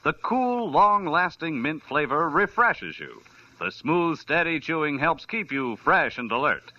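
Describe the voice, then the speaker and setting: A man announcing a sponsor and show on an old-time radio program, with an enthusiastic sales-pitch manner. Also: sing-song, measured pace, pushed and bright. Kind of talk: 1940s radio commercial announcing. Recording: lo-fi old radio with faint hiss.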